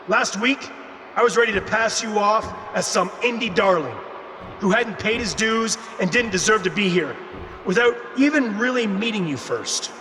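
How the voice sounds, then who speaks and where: A self-assured veteran champion wrestler in a video game promo. His voice is high, measured and condescending, ringing with long reverb over clear noise. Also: lively intonation. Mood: smug. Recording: noisy arena PA with long reverb.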